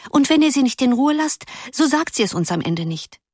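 A woman voicing a small, gentle schoolgirl who coaxes and pleads with her friends. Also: high-pitched, very animated, brisk, slightly rough, no room sound, studio quality, pitched above the performer's own voice, exaggerated voice. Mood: coaxing.

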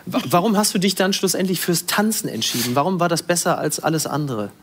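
A woman's voice: fairly low pitch, lively intonation, fast. A woman hosting a conversational interview, asking attentive follow-up questions. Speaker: interviewer, host.

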